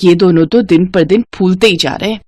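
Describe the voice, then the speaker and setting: A woman's voice: on the low side, brisk, warm tone, no room sound, natural voice. A woman voicing a greedy merchant's wife, a scornful and bossy villain in a moral story. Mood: suspicious.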